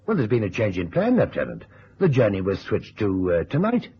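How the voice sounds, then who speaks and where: An actor playing a fretful, dryly authoritative detective agency chief in an old-time radio drama. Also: male voice, lowish voice, wildly swooping pitch, brisk, breathy edge, heavily acted. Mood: weary, puzzled. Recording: muffled, noisy vintage radio recording.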